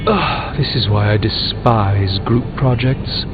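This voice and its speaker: A man's voice: on the low side, takes it slowly, muffled recording. A man playing a haughty middle-aged sorcerer superhero in a video game, speaking formally with dry sarcasm. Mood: irritated, sardonic. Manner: formal, pompous, dryly sardonic. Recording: thin band-limited audio, loud music behind.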